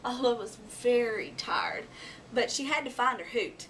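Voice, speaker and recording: A woman's voice: on the high side, lively intonation, measured pace, a bit bright. A woman reading a children's story aloud expressively, acting out animal sounds and voices. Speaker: storybook reader. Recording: noisy, distant microphone.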